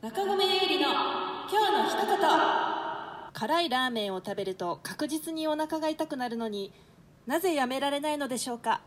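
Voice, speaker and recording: A woman reading scripted radio announcements in a polite, animated way. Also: high-pitched, moderate pace.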